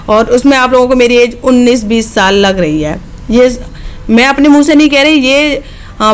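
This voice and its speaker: A woman in her late twenties, a vlogger chatting directly to her viewers in a casual, frank way, often checking in with "okay". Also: fairly high pitch. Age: late 20s.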